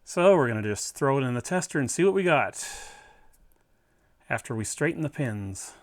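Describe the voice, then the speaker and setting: A man's voice: medium pitch, expressive, normal speed. A man hosting a repair video, talking casually to the viewer and thinking aloud as he works through a hands-on job. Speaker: host of an electronics repair video.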